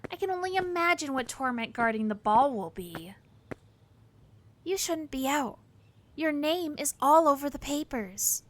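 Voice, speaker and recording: An actress playing a warm, chatty young palace worker with a romantic streak, eager and sympathetic, in an audio drama. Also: female voice, high voice, animated, a little slow. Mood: concerned.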